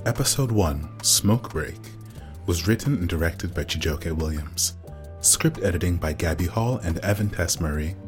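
A man's voice: low voice, animated, moderate pace, music in the background. A man reading scripted end credits as an announcer.

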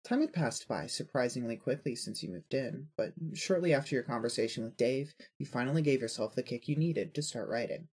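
A man's voice: medium pitch, brisk, few pauses, darkish tone, slightly rough. A man narrating a scripted audio story, reading prose addressed in the second person.

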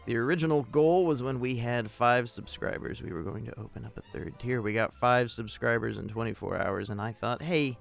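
A man's voice: medium pitch, fairly quick, vocal fry, few pauses. A man hosting a podcast, reading an announcement in a theatrical, dry, tongue-in-cheek way. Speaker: podcast host.

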